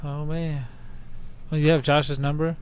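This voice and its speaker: A man's voice: a little high, level tone, a little slow, slight background noise. Joking, foul-mouthed podcast host guy. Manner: loose, joking, swears freely. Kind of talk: casual banter. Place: home studio with kids around.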